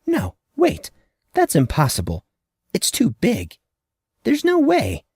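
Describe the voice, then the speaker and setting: A man's voice: sits high, wildly swooping pitch, slow, darkish tone, slightly rough, dry recording, voice pushed up, exaggerated voice. A man voicing a confused, wide-eyed little girl in a storybook tale, hesitant and disbelieving.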